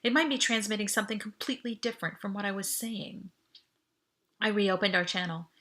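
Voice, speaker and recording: A woman reading first-person audiobook narration in an expressive, urgent, dramatic way. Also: medium pitch, fairly quick. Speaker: author reading own novel.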